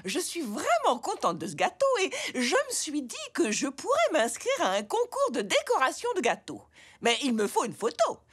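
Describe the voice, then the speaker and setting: A woman's voice: sits high, huge pitch swings, moderate pace. A woman voicing a cheery, slightly vain elderly grandmother zebra in a children's cartoon. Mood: delighted.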